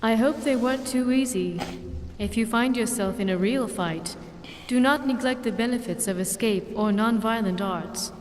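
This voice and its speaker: A woman playing a calm, solemn female magic master in a video game, measured and instructive. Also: on the high side, natural voice. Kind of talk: video game character dialogue. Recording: long reverb, faint background noise.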